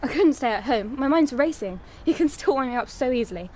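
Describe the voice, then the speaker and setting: A woman's voice: high voice, lively intonation, a little fast, a little mellow. A woman acting in a naturalistic radio drama as an anxious, caring young woman exasperated by a friend. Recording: echoey room with faint noise.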